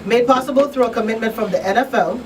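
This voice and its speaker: Female talk radio host, higher voice, emphatic and conversational, a little off-mic with faint noise. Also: quick pace, recorded from a distance. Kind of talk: casual radio talk, partly reading announcements. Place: radio studio.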